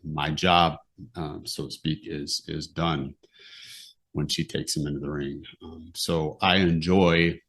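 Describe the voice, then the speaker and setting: A man's voice: a bass voice, fairly even intonation, takes it slowly, a bit bright. A middle-aged American man chatting casually and giving advice, thinking aloud in short, hesitant phrases. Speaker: podcast guest, amateur horse owner.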